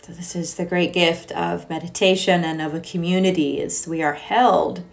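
A woman guides a meditation in a fairly low voice that often crackles into fry. Her delivery is gentle and soothing, leaving generous pauses between phrases. Quiet music plays behind her. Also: steady tone. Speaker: meditation and yoga teacher.

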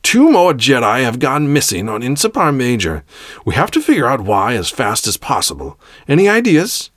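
A man's voice: medium pitch, wildly swooping pitch, moderate pace, no echo, clean studio sound, pitched above the performer's own voice. A man voicing a domineering, impatient female Grand Master of a space order in a story, barking commands. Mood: barking, urgent. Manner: commanding, shouting.

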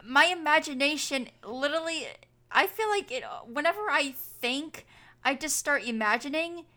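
A young woman co-hosting a podcast, chatting casually and excitedly, saying "like" a lot. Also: high-pitched, normal speed. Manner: excitable, frequent "like". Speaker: podcast co-host.

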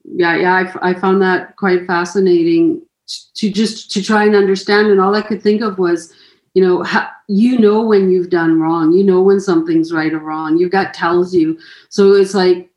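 A woman's voice: lowish voice, fairly even intonation, normal speed, darkish tone, reverberant. A German-born woman in her fifties, whose first language is German, talking through her thoughts in an interview with frequent restarts and fillers. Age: 50s. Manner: hesitant, many restarts and fillers. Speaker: author guest. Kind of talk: conversational interview.

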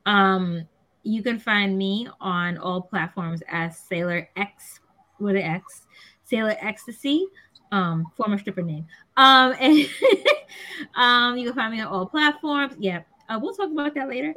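A woman from Brooklyn, New York talks as a podcast guest on a video call. Her voice is bright and middle-pitched. She rambles warmly through her points with lots of fillers. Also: measured pace. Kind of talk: casual group chat.

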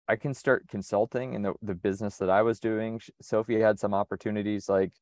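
A man from the United States, speaking in a relaxed conversational interview, thinking aloud with frequent restarts. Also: lowish voice, no echo. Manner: reflective, methodical, frequent restarts. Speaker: sailor and interview guest.

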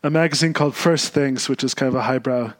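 A man who is a monk, raised between Austria and the U.S., speaking as a guest on a podcast. He is thoughtful and often pauses to search for words. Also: mid-range voice. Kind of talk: podcast interview.

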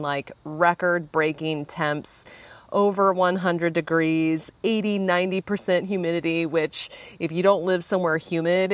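A young woman talks through a relaxed solo podcast from her backyard, rambling happily with plenty of "like" and side notes. Her voice sits on the low side for a woman, and the recording is dry and close. A lingering cold leaves her with an occasional cough. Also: dry recording. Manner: chatty, rambling, frequent "like" and asides. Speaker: podcast host. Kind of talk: casual solo podcast chat. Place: outdoors in a backyard.

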